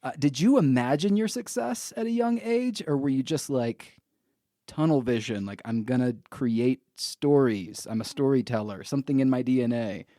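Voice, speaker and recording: A man's voice: mid-range voice, normal speed, dark tone, no room sound, studio quality. A man in his late thirties hosting a podcast in a casual, chatty interview style. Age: late 30s. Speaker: podcast host.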